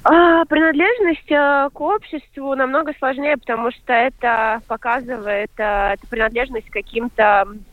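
A young woman from Latvia in a panel discussion, answering thoughtfully with many small pauses. Her voice comes through a narrow, phone-like line and sounds dry, with no room around it. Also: high voice, fairly even intonation, dry recording. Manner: thoughtful, hesitant, many fillers. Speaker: guest, filmmaker.

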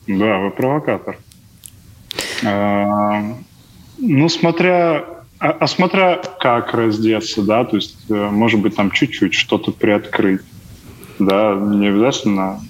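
A man's voice: lowish voice, takes it slowly. A young man chatting casually as a panel guest, hesitant and thinking aloud as he answers. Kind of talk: casual chat, answering questions. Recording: muffled, noticeably noisy sound.

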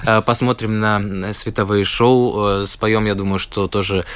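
An eighteen-year-old schoolboy from Latvia, answering interview questions with lots of hesitations. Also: male voice, fairly low pitch, steady tone, normal speed, a bit bright, smooth, dry recording. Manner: hesitant, many filler sounds. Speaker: guest, schoolboy.

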